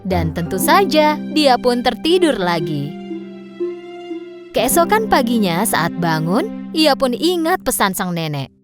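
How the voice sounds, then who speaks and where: Perempuan pendongeng yang ekspresif. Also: female voice, on the high side, slow pace, music in the background. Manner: expressive, lilting storytelling. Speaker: narrator. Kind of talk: scripted storybook narration.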